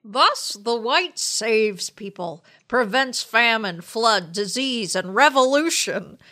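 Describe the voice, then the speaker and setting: A woman podcast host reading a book aloud dramatically, in a deliberate, declamatory way. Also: on the high side, lively intonation, bright, forceful tone.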